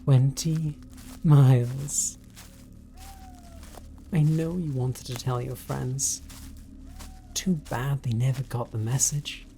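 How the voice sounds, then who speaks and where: A man with a British accent playing an obsessive, bitter spurned stalker in a horror audio roleplay, speaking in a deliberate, coldly taunting way. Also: medium pitch, fairly even intonation, mellow, a little hiss or hum.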